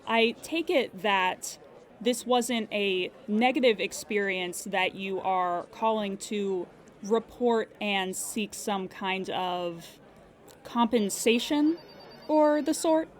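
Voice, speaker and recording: A woman playing a polite, measured insurance claims agent who speaks formally and diplomatically on an improvised audio drama call. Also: medium pitch, lightly acted. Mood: polite, probing.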